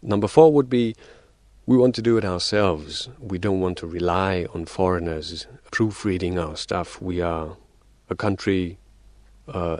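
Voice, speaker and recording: A man with a very low voice answers interview questions in a dry, close studio sound. He explains his ideas step by step, stumbling over restarts and fillers as he goes. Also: darkish tone, no echo. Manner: hesitant, many restarts and fillers; explains in numbered theories. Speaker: guest.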